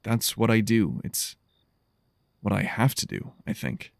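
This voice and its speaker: A man playing an earnest, gentle explorer stranded on an alien world, speaking thoughtfully and haltingly in an audio drama. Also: lowish voice, animated, vocal fry, dry recording, studio quality. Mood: hesitant, searching.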